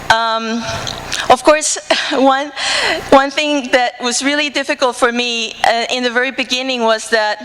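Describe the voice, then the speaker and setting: A woman's voice: a little high, fairly even intonation, a little slow, fairly bright tone. A woman from the Dominican Republic with a Dominican Spanish accent, telling a personal story at a conference in earnest English full of "uh" fillers and restarts. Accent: Dominican Spanish, speaking English. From Dominican Republic, Cibao region. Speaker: marine conservation researcher. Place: conference hall. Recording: hall reverb, faint room noise.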